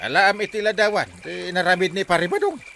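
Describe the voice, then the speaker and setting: An actor playing an excitable, scolding middle-aged village captain with an Ilocano accent in a radio comedy drama. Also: male voice, high-pitched, a little fast, creaky, a little hiss or hum, exaggerated voice.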